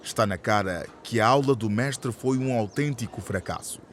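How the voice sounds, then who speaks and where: A man's voice: lowish voice, moderate pace, slight background noise, lightly acted. A man narrating a radio drama in a scripted, scene-setting style. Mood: descriptive. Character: male narrator of a school radio soap.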